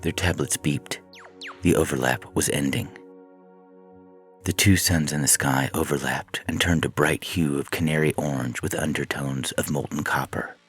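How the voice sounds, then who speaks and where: A man with a deep, husky voice reading a scripted science fiction story as narrator. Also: fairly even intonation, normal speed, faint music behind.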